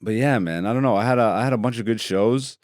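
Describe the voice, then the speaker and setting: A man's voice: medium pitch, quick pace, darkish tone, no room sound, studio quality. A man hosting his own podcast solo, chatting in a laid-back, joking and profane way, rambling from topic to topic. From Greece. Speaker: podcast host. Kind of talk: casual solo podcast chat.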